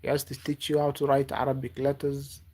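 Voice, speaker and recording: A man from Africa, not a native English speaker, chatting live with viewers and giving gentle advice, halting and repeating words. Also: medium pitch, completely flat delivery. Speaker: livestreamer. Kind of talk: casual live-stream chat with viewers.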